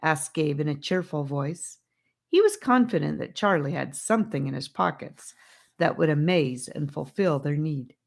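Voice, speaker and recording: A woman's voice: low-pitched, expressive, normal speed, creaky. A woman reading a children's adventure story as an audiobook narrator, in scripted narration.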